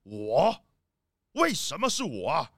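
A man's voice: a little high, huge pitch swings, slow, vocal fry, a bit bright, no echo, clean studio sound, heavily acted. A man playing a bossy, irascible animal tycoon villain in a children's radio drama, scolding and indignant. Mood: angry scolding.

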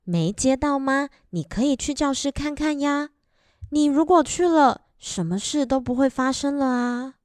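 A woman's voice: sits high, animated, a little slow, dry recording, clean studio sound. A woman acting a sensible middle-aged mother in a radio drama, with a Taiwanese accent and a placating, practical manner.

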